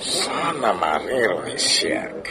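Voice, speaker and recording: A man with a Filipino accent playing a brooding, suspicious gentleman in a comedy radio drama, musing to himself in a murmur. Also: deep voice, sing-song, takes it slowly, heavy background noise, exaggerated voice. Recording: music bed under the voice.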